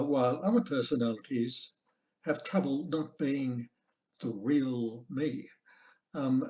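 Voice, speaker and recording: An Australian man delivers an informal talk as a teacher. He speaks very deliberately and slowly, often halting between phrases, in a mid-range voice. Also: mid-range voice. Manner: measured, deliberate, halting with pauses. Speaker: language teacher giving a conference presentation. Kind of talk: informal online lecture. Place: online video call presentation.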